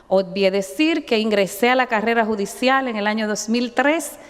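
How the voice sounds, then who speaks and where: Lady judge, formal and kinda nervous, bit high pitched, big echoey hall. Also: clean tone. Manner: formal, measured, devout asides. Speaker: judge and candidate. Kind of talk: formal hearing testimony. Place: large hearing chamber. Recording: long reverb in a hall.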